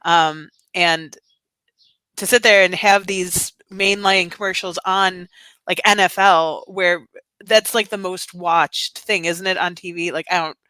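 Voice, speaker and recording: A woman's voice: on the low side, steady tone, unhurried, bright, forceful tone, clean tone, dry recording. A woman hosting a podcast in casual chat, chatty, with lots of fillers and hedges. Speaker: podcast host.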